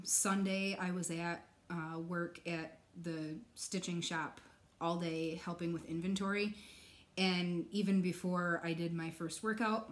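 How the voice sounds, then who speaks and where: A young adult woman vlogging from her couch, chatting casually with many ums, her voice still recovering from a cough. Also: fairly low pitch. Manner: chatty, many ums, soft nervous laughs. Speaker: stitching vlogger. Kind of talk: casual chat to camera. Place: living room couch.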